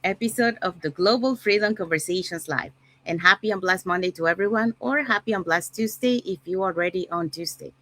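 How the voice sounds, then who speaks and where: A woman from Panama hosting an online panel show in a relaxed way, with many fillers. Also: on the low side, fairly quick, low-quality recording. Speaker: host.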